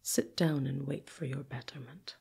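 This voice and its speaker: A woman's voice: extremely low pitch, steady tone, normal speed, creaky, dark tone, slightly rough, voice pushed down, full character voice. A woman voicing an imperious, easily angered male king in a folktale, with a commanding, blunt manner. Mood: stately, gracious.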